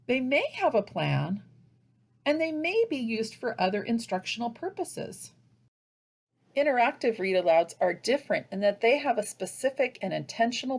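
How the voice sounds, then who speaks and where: An American woman narrating a scripted instructional presentation as a teacher trainer, explaining in a measured, didactic way. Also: medium pitch, creaky, slightly rough.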